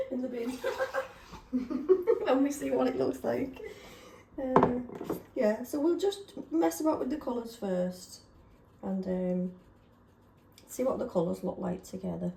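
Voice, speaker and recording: A woman chatting casually and self-deprecatingly while testing paint colours, slightly hoarse from a sore throat. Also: on the high side, slow pace, pauses often, dark tone, reverberant, slight background noise. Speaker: craft vlogger.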